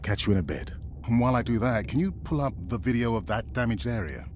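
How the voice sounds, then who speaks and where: An actor playing a supportive male ocean research teammate in a video game, measured and natural. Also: mid-range voice, animated, darkish tone, a little hiss or hum, close to an everyday voice. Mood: serious.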